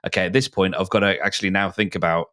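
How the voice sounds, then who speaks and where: Low-voiced man in thoughtful discussion. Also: steady tone, fast, fairly bright tone. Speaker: podcast speaker. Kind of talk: casual discussion.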